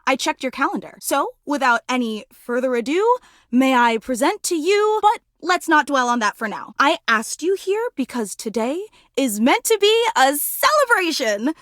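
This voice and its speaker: A clingy, fawning female superfan for a video game. Her voice sits high and swings widely in pitch as she gushes with overemotional, melodramatic devotion. The sound is dry and close, with no room to it. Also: very animated, normal speed, a bit bright, no room sound. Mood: reverent, gushing. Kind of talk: video game character voice acting.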